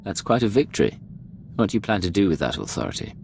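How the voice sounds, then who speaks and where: Male imperial agent, very low, gravelly, terse, dry wit, video game, soft music. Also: huge pitch swings, quick pace, slight background noise, full character voice. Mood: firm, questioning.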